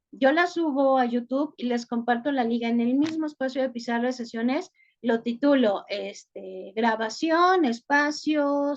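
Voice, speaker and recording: Mexican woman teacher, chatty, filler-heavy, slightly high voice heard through a dull video-call line. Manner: chatty, frequent "este" fillers. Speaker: online course teacher. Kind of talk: online class, reading chat introductions. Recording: muffled lo-fi video-call audio.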